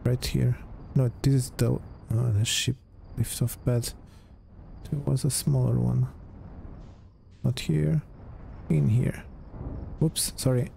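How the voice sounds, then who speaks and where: Man with very mellow, creaky voice. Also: mid-range voice, fairly even intonation, takes it slowly, long pauses, a little hiss or hum. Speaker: gamer, let's play host. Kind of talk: casual gameplay commentary.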